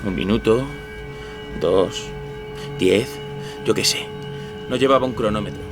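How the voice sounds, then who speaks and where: An actor playing a callous young skinhead suspect, curt, evasive and contemptuous, in a dramatized true-crime podcast. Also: male voice, lowish voice, unhurried. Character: defiant, callous young male skinhead suspect under interrogation. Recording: music bed behind the voice.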